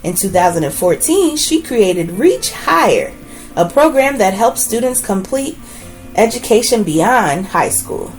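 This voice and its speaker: Woman reading aloud with lively pitch. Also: measured pace, faint music behind, low-quality recording. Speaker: read-aloud host reading a children's biography. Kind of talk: read-aloud narration of a children's book with brief asides.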